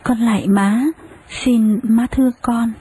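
An actress playing a meek young pregnant wife who pleads softly with her mother-in-law. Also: fairly high pitch, steady tone, slow, breathy edge, no room sound, heavily acted. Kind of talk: radio drama acting.

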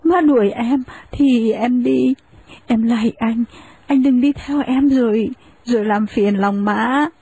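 An actress playing a meek young pregnant wife who pleads softly with her mother-in-law. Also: fairly high pitch, takes it slowly, slightly rough, heavily acted. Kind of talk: radio drama acting.

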